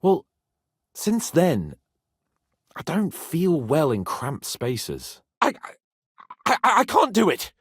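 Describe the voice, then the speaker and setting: A man's voice: on the high side, huge pitch swings, takes it slowly, long pauses, breathy edge, dry recording, studio quality, exaggerated voice. An actor voicing a young medieval nobleman in a video game, imperious, playful and hot-tempered, with a teasing, dramatic delivery. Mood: uneasy, distressed. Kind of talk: video game character acting.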